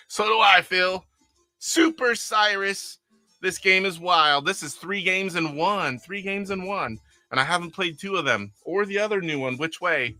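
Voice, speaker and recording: A middle-aged man chats loosely on a live game stream, rambling, chuckling, and reading viewer comments aloud. His voice is very bright and somewhat high, recorded dry and close, with faint game music underneath. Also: unhurried, no room sound. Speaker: retro game streamer host. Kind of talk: casual live-stream chat with gameplay. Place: attic converted to a game room.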